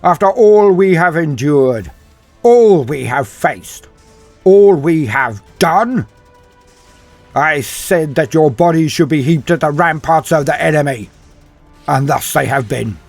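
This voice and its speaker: A man voicing a booming, fervent war priest. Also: expressive, creaky, no echo, faint music behind, full character voice. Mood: impassioned, rousing. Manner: booming, declamatory oratory with drawn-out phrasing. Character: fervent male war priest rallying battered soldiers.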